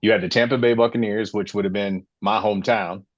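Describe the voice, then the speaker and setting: A man in his late forties hosts a casual sports podcast and tells personal stories with real passion. His voice sits low. The audio is a bit muffled and dry. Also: steady tone, a little fast, dry recording. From Florida, USA. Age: 40s. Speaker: podcast host.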